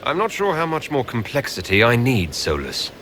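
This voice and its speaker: A man's voice: medium pitch, huge pitch swings, moderate pace, fairly bright tone, a little hiss or hum. An actor voicing a matter-of-fact, sceptical male advisor in a video game.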